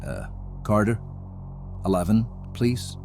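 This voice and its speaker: An actor playing an uneasy agent in an audio drama, hesitant and awkwardly affable. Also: male voice, lowish voice, lively intonation, slow pace, creaky, darkish tone, exaggerated voice. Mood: hesitant, polite. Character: uneasy male agent forcing friendly small talk. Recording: music behind the voice.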